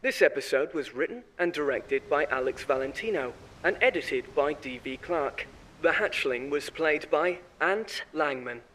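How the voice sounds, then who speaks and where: A man's voice: fairly high pitch, animated, measured pace, fairly bright tone, slight background noise, rough recording. A man reading scripted credits as the announcer of a podcast.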